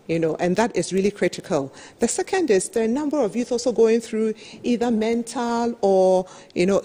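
A middle-aged woman speaks at a business panel in a medium-pitched, slightly husky voice. She explains her points emphatically, peppering them with "you know". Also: mellow. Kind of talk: business presentation at a televised roundtable. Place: television studio, seated at a desk.

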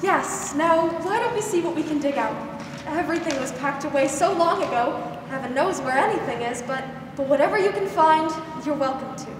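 A woman acts a kindly but no-nonsense aunt in old radio drama style. Her voice is very high. It echoes heavily across a noisy stage, picked up at a distance. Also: fairly quick, close to an everyday voice. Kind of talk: 1940s radio drama acting. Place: school theater stage. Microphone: stand microphone, masked performer.

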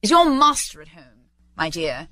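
A woman voicing a reformed old miser, a giddy and kindly old gentleman who speaks in effusive exclamations. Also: medium pitch, very animated, normal speed. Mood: eager, gentle.

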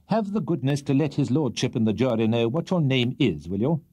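A man's voice: mid-range voice, sing-song, fairly quick, mellow, slightly rough, pitched above the performer's own voice. A man reading a storybook, voicing a crafty middle-aged male barrister who cross-examines in an insinuating manner. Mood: sly.

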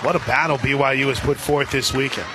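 An American man doing live radio play-by-play commentary of a softball game. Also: mid-range voice, fairly even intonation, quick pace. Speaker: radio play-by-play sports announcer. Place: outdoor stadium broadcast position. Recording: crowd noise and music behind commentary. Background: stadium crowd and music.

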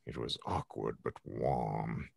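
A man's voice: extremely low pitch, fairly even intonation, takes it slowly, gravelly, darkish tone, husky, dry recording. A man reading fan fiction dramatically as a podcast host, in a theatrical manner, close to the microphone at home. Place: home room, on a video call.

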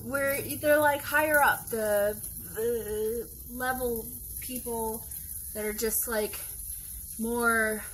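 Slow, rambling woman with a Wisconsin accent. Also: on the high side, a bit bright, a little hiss or hum, poor audio. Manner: rambling, searching for words, self-correcting. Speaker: vlogger. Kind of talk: casual chat. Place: home kitchen, indoors. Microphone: phone camera across the table.

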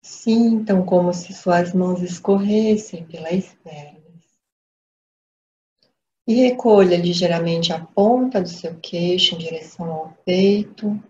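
Brazilian female meditation guide, gentle and unhurried, slightly low voice, dull lo-fi sound. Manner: calm, gentle, measured instruction. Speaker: meditation teacher. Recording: dull lo-fi audio, background noise.

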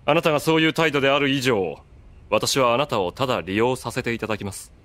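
A man's voice: medium pitch, quick pace, fairly bright tone, close to an everyday voice. An actor playing a calculating, unruffled man with polite, cutting speech in a Japanese anime audio drama. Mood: cool, sardonic.

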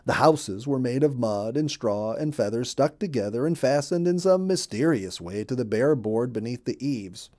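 Mellow middle-aged man reading a children's story. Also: medium pitch, animated, normal speed, few pauses. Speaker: storyteller, audiobook reader. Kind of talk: scripted children's story narration. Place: indoor room.

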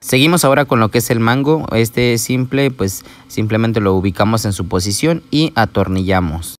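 A man's voice: fairly low pitch, moderate pace, no room sound. A man talking viewers through a small-engine repair step by step, patient and explanatory, speaking to them directly as a voice-over.

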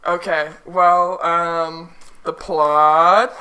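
A woman from Sweden, a stand-up comedian co-hosting a film podcast, with dry deadpan sarcasm. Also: lowish voice, very slow. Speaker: comedian co-host. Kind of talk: casual film review chat.

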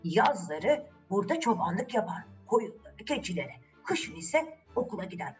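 A woman's voice: mid-range voice, big pitch swings, normal speed, faint music behind, natural voice. A woman voicing a kindly old mountain grandfather in a children's tale, instructive and encouraging. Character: gruff-looking but kindly old grandfather.

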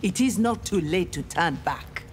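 A defiant, honorable warrior woman from a fighting game. Her voice sits fairly low, and she speaks firmly and with dignity, with faint music behind her. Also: animated, measured pace. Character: defiant, honorable armored female ninja warrior. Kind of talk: scripted video game dialogue.

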